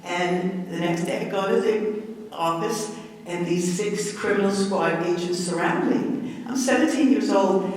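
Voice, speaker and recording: A woman's voice: mid-range voice, unhurried, darkish tone. A woman in her eighties with a Brooklyn accent, telling stories as a guest speaker and laughing as she goes. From Brooklyn, New York. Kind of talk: storytelling talk. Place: library hall. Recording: echoey hall, distant from the mic.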